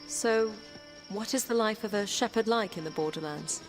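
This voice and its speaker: A woman's voice: mid-range voice, moderate pace, soft background music, natural voice. A woman voicing a headstrong, curious young princess in an audio adventure game. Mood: wary, curious. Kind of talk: dramatic audio game acting.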